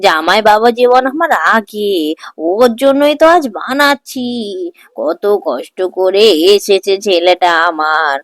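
A woman's voice: medium pitch, expressive, unhurried, no room sound, clean studio sound, close to an everyday voice. A woman voicing a doting, bossy older mother-in-law in a cartoon, fussing and emphatic. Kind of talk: cartoon voice acting.

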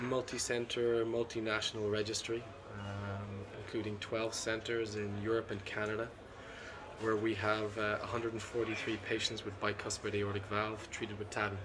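A middle-aged man, a cardiologist being interviewed at a conference, talks in a measured, analytical way with frequent ums and restarts. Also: fairly low pitch, little pitch movement, noisy background. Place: seated at a conference booth indoors. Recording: constant conference hall ambience.

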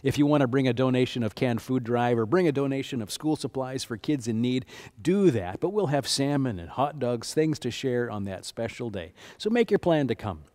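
Older male pastor, mid-pitched, lively church announcer, sanctuary. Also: moderate pace.

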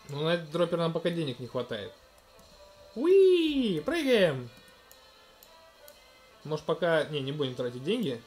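Gentle man narrating a game to his toddler. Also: on the high side. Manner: gentle, coaxing, talks to the child, narrates what is on screen. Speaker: let's-play host playing with his toddler. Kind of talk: casual game commentary to a small child.

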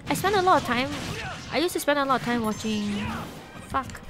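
A woman's voice: high-pitched, expressive, a little fast, music in the background. A young woman from Singapore with a Singaporean English accent, chatting casually with viewers on a live stream from her bedroom, giggling often and trailing off mid-sentence. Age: young adult. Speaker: Twitch streamer. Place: small bedroom, indoors. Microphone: desk microphone with headset.

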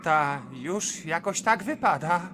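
An actor playing a timid, simple young mason in a verse radio play, hesitant, deferential and plaintive. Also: male voice, fairly high pitch, lively intonation, slow pace, noisy background.

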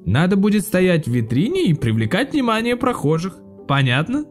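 A man's voice: sits high, huge pitch swings, moderate pace, faint music behind, voice pushed up. A man playing a middle-aged shop director, bossy and businesslike.

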